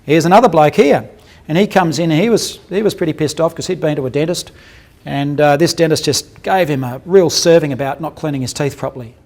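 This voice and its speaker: A man with a gravelly, somewhat high voice lectures on dental cases. He talks bluntly and colloquially, slips in jokes, and puts questions to his audience. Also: fairly quick, darkish tone. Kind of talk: clinical lecture with case examples.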